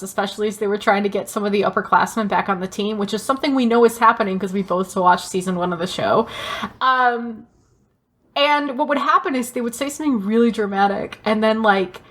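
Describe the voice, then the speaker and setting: A woman co-hosting a podcast in casual chat, telling things in an animated, emphatic way with plenty of swearing. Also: a little high. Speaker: podcast co-host. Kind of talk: casual pop-culture chat.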